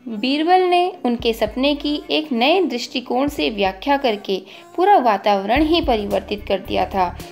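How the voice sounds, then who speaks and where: Higher-voiced woman narrating a story over music. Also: creaky, a little mellow. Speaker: storyteller and channel host. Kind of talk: scripted children's story narration. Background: background music.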